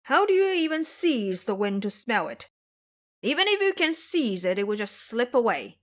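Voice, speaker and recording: A woman's voice: fairly high pitch, big pitch swings, normal speed. A woman voicing a blustering, cautious male pig-spirit disciple, blunt and grumbling, in an audio drama. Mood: wary, grumbling.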